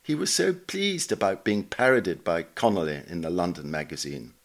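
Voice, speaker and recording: A man's voice: deep voice, animated, normal speed. A man reading written notes aloud as a scripted narrator, dramatising the recollections as he goes.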